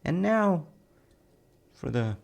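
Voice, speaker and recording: A man playing a gentle, playful dad in a cozy baking audio roleplay, talking casually and softly to the listener. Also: a little high, big pitch swings, very slow, vocal fry, exaggerated voice. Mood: cozy, gently playful. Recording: faint noise, kitchen sounds.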